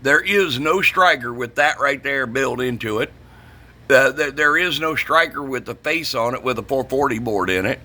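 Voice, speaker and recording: A man's voice: mid-range voice, normal speed, sharp tone. A middle-aged man, ranting casually and bluntly about his trade, swearing and repeating himself for emphasis. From northern United States. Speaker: CB radio shop vlogger. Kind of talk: casual opinionated rant.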